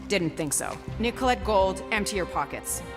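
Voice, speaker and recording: A woman's voice: mid-range voice, very animated, normal speed. An actress playing a stern, exasperated mother who commands a spaceship in a video game, speaking firmly and in clipped orders. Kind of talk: cinematic video game acting. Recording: long hall reverb, music behind.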